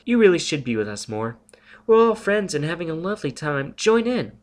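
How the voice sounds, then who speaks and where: Man putting on a nervous young woman, high pitched, prim, high-strung, storybook telepath. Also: big pitch swings, fairly quick. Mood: anxious.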